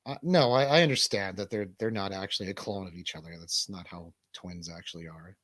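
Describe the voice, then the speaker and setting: A man's voice: sits low, brisk, a bit bright, dry recording, studio quality. An American man co-hosting a comedy radio show as a DJ, chatting casually in rambling, profane, joking banter.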